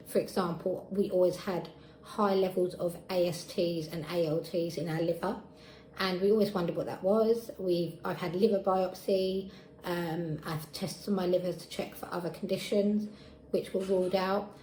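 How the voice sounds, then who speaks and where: A woman's voice: fairly low pitch, dark tone. A woman in her thirties with a southeast English accent, giving a personal account as a patient. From Essex, England. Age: 30s. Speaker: patient sharing experience. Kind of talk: personal testimony to camera. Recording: echoey room with faint background noise.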